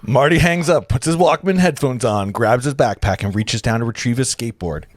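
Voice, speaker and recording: A man's voice: mid-range voice, fairly quick, no echo. A man reading screenplay directions as the narrator of a table read, informally, with casual asides.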